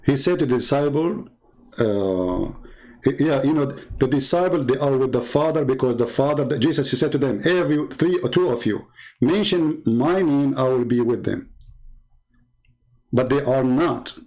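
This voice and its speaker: A man's voice: medium pitch, lively intonation, moderate pace, darkish tone, low-quality recording. A middle-aged man with an Arabic accent, mocking and scornful as he argues with online commenters in combative commentary. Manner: mocking, scornful, sarcastic laughs. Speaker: online debater.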